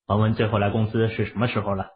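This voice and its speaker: A man playing a curt, low-voiced hero. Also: little pitch movement, rapid-fire, phone-quality sound, lightly acted. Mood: probing. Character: calm, commanding young male healer hero.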